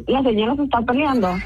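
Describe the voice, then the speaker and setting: Husky-voiced woman, patient on the phone. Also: sits high, rough recording. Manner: patient, polite, often laughing. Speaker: chat line operator. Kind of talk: phone conversation.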